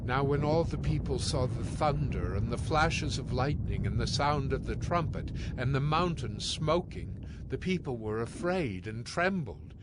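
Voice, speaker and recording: A man's voice: medium pitch, expressive, measured pace, few pauses, heavy background noise. A man narrating scripted scripture as a solemn storyteller in a dramatized audio production. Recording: music under the voice.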